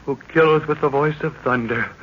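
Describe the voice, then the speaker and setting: A man's voice: on the high side, a little fast, close to an everyday voice. A man in an old radio drama playing a terrified, servile man who pleads reverently in archaic English. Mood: fearful reverence. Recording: muffled, noisy old radio recording.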